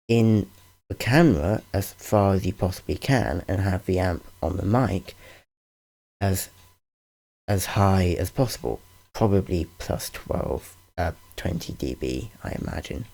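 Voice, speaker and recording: A deep-voiced man talks slowly through a casual tutorial, his tone dark and lively in pitch. He often stops to correct himself with dry humor, recorded close on a studio condenser microphone. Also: vocal fry. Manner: rambling, self-correcting, wry. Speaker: channel host explaining audio gear. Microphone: large-diaphragm studio condenser.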